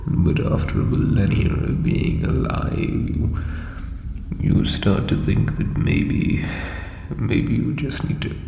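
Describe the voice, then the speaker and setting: A man playing an ancient, insecure undead lich king in a comedic audio roleplay, with a breathy croak and hesitant, pompous phrasing. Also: on the low side, huge pitch swings, slow pace, mellow, exaggerated voice. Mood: insecure, wistful. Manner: hesitant, self-doubting, theatrical formal phrasing. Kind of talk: scripted comedic audio roleplay addressed to listener. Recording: reverberant echoey hall, faint background noise.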